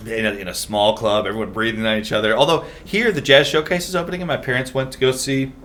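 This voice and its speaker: A man's voice: mid-range voice, steady tone, fast, a bit bright. A young man with a Midwestern accent, hosting a casual podcast chat and laughing often. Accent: Midwestern American. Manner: laughs often, chatty and playful. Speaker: podcast host.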